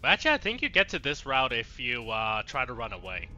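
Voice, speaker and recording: A man's voice: medium pitch, sing-song, a little fast, pushed and bright, slight background noise. A man giving casual let's play commentary, rambling and chatty as he thinks aloud. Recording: slightly dull sound, faint game music. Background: faint game music.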